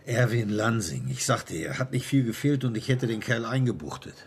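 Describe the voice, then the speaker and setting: An actor in a radio crime drama playing a gruff, sardonic police chief with a scornful, dry manner. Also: male voice, medium pitch, expressive, moderate pace, loud music behind the voice.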